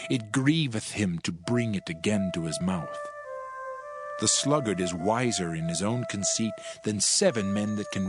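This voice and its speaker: A man giving a solemn, declamatory, dramatized reading of scripture in measured phrases. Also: lowish voice. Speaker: audio Bible narrator. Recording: music behind the voice. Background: background music.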